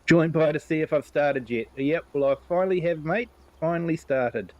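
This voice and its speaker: A man's voice: on the high side, moderate pace, dry recording. A man with a New Zealand accent streaming a farming game, chatting casually and reading out viewer comments. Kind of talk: casual live gameplay commentary.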